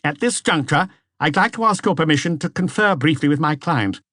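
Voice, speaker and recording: A man's voice: a little high, animated, brisk, sharp tone, no echo, clean studio sound, voice pushed up. A man voicing a formal, self-assured defense lawyer in an audiobook, speaking in an oratorical manner. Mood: formal request.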